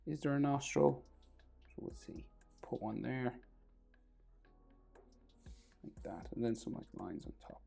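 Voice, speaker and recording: A man with a sore throat and a crackly, gravelly voice, talking casually through a drawing tutorial, often trailing off to a near whisper. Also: mid-range voice, normal speed, dark tone, soft background music.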